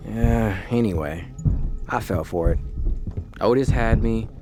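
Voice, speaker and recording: A wry ghost of a roaming cat tells his tale in a low, folksy voice. It is scripted audio drama storytelling, with music clearly playing behind the words. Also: slow. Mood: reflective. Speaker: narrator. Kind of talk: first-person scripted storytelling.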